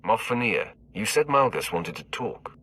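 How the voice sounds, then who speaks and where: An actor playing a cold, merciless Sith enforcer in a video game, terse and self-assured. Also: male voice, fairly low pitch, very animated, moderate pace, heavy background noise. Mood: cool. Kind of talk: dramatic video game acting.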